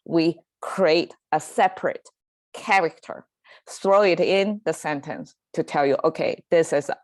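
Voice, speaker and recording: A woman with a Chinese accent speaks slowly in a low, unhurried voice, stopping often for fillers and restarts as she explains. The sound is dry and close, with no room around it. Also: darkish tone, no echo. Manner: hesitant, lots of fillers and restarts, laughs easily. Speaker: language teacher and show host. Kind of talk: casual solo language lesson. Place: home in Southern California.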